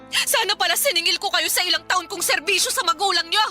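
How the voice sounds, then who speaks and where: A fed up tearful wife type in radio drama, really high sharp voice, bitter and reproachful, music behind. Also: female voice, fast talker, full character voice. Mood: angry, hurt. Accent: Filipino. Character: embittered, exhausted live-in partner and young mother leaving. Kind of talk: Filipino radio drama acting. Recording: music bed under the voice.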